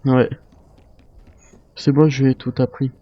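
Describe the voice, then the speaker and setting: A man role-playing a military survivor in an online zombie game, speaking in halting, improvised phrases. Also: medium pitch, little pitch movement, no room sound, highs cut off. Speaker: video game role-player. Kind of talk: improvised in-character game chat.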